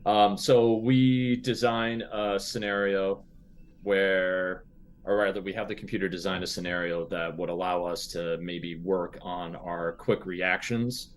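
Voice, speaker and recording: A man with a notably low voice talks through his moves in a tabletop game. He is casual and a bit wry, playful with the scenario. Faint noise runs behind him. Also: steady tone, measured pace.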